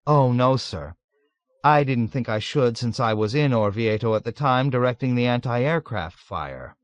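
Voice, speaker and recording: A man voicing a meek but sly young mess officer who speaks softly and quietly insists. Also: medium pitch, animated, unhurried, no echo, close to an everyday voice. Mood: demure. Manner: demure, soft, quietly insistent.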